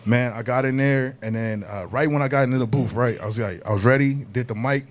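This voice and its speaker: A man with a deeper voice telling stories in casual podcast talk, laid-back and peppered with "you know what I mean". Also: fast. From Southern California. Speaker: guest hip hop artist.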